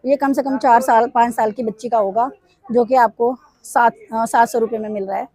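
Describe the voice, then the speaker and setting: Woman, hoarse throat, fairly high voice, chatty bargain-hunting vlog, dry close sound. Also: steady tone, normal speed, darkish tone, dry recording. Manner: repeatedly addresses viewers, upbeat sales pitch. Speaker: market vlogger. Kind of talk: casual walkthrough commentary. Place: busy weekly market stalls.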